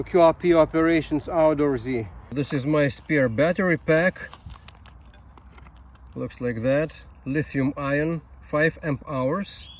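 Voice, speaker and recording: Male outdoor gear vlogger, slightly high voice, unhurried and halting, faint ambient noise behind. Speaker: amateur radio video host. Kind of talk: casual outdoor gear demonstration vlog. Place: outdoors on a bushy hilltop.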